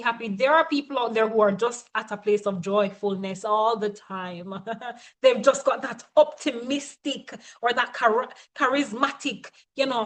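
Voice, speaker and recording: A woman's voice: a little high, a bit bright. A woman coach giving a motivational teaching talk, speaking emphatically and often checking in with her listeners.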